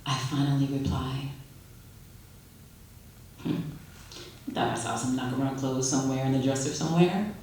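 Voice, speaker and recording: A woman reading a literary short story aloud at a live event, expressive and unhurried, with dramatic pauses. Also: very deep voice, slight background noise. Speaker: story reader. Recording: echoey room, distant microphone.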